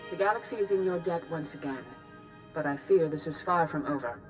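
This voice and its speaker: Female mentor for a game actress: lowish, solemn, measured, authoritative leader, music behind. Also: natural voice. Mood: grave, composed. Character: grave, wise female Jedi master leader. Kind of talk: video game dialogue.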